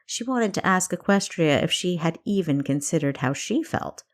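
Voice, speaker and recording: A woman reads a novel aloud as its narrator. Her voice sits a little low for a woman, and she delivers scripted storytelling prose. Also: expressive, moderate pace. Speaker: author narrating own audiobook.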